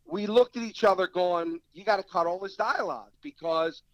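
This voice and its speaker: A man from South Philadelphia with a noticeable local accent, recounting film-set anecdotes in a casual interview. His voice sits a little high for a man and he slips into quoted dialogue as he tells it. The recording is dry and close. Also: moderate pace, dry recording, highs cut off. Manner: animated anecdote-telling, quoting others in dialogue. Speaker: actor guest.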